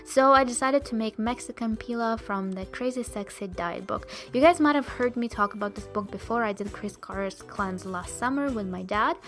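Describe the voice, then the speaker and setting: A woman's voice: a little high, normal speed, few pauses, fairly bright tone, soft background music. A young woman with a Russian accent, chatting cheerfully to viewers as she narrates her cooking. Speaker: vegan food vlogger.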